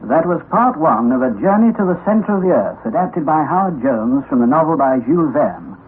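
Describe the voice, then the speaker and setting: A man's voice: on the high side, brisk. A man announcing the credits at the end of a radio drama in a formal manner. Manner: formal, even delivery. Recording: tinny old radio broadcast with hiss.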